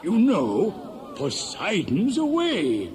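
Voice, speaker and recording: Radio play role: genial, complaining ruler of gods, high-voiced and unhurried, amid a crowd. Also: male voice, wildly swooping pitch, a little mellow, a little hiss or hum, exaggerated voice. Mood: jovial scheming. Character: Zeus, jovial complaining father of the gods. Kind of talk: 1970s radio play acting.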